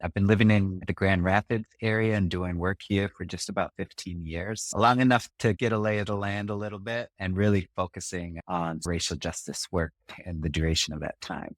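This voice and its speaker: A middle-aged man from Singapore, a podcast guest telling his story in a measured, reflective way with frequent fillers. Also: deep voice, dry recording. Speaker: podcast guest, community organizer. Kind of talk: conversational storytelling interview.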